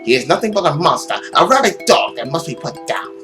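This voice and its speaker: A man voicing a stern, possessive middle-aged mother in a dramatic, deliberate, scolding manner. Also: fairly high pitch, very animated, over music. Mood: grim.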